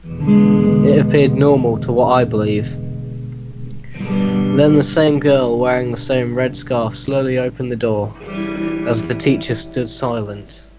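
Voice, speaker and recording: Young man, mid-pitched, dramatic story reading, mock-serious delivery, prominent guitar backing. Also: fairly even intonation, a little slow, long pauses, low-quality recording. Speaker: reader of a friend's story. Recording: loud acoustic guitar music behind voice. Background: acoustic guitar music.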